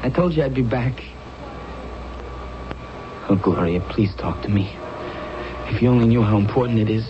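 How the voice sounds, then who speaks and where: An actor playing a desperate gambler husband in a 1970s radio drama, halting and repeating himself as he pleads. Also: on the low side, close to an everyday voice. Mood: desperate pleading. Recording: muffled, noisy old radio broadcast recording.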